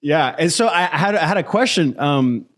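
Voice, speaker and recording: A man from Brazil who grew up in the United States, hosting a casual interview in a halting, circling way. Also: a little high, lively intonation. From born in Brazil, grew up in the United States from age eight. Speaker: podcast host.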